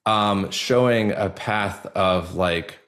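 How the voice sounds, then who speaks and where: A man speaking casually and informally as an interview guest, in a halting way with many filler 'likes'. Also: deep voice, a bit bright. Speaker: actor, podcast guest. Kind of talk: casual personal interview talk.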